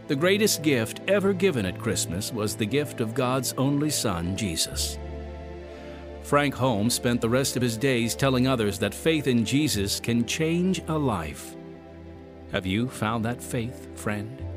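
A man hosting a radio program, reading scripted narration in an earnest, measured manner that addresses the listener directly. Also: mid-range voice, expressive. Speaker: radio program host and announcer. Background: music.

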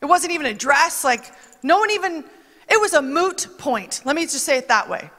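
A woman preaching with a very high, bright voice in a chatty, emphatic way, often quoting others as she goes. Her words ring out with a long reverb, as if from a large church hall. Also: lively intonation, moderate pace. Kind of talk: conversational sermon.

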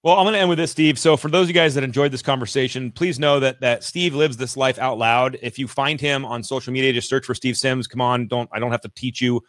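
A man's voice: medium pitch, fast talker, few pauses, no echo. Effusive male podcast host. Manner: energetic, effusive, many restarts. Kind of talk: interview chat.

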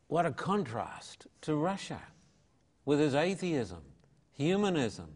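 A man's voice: fairly high pitch, sing-song, slow pace, a little mellow, husky, long reverb tail. An older man with an Australian accent, an evangelist telling his story in an interview with an emphatic, preacherly and folksy manner. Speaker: evangelist guest.

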